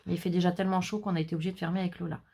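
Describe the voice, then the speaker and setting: A woman's voice: lowish voice, little pitch movement, rapid-fire, creaky, dark tone. Chatty woman showing off new craft finds. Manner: chatty, reads out color names and numbers, jokes about herself. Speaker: scrapbooking vlogger. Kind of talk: casual haul video, showing products. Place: indoors, shutters closed against the heat.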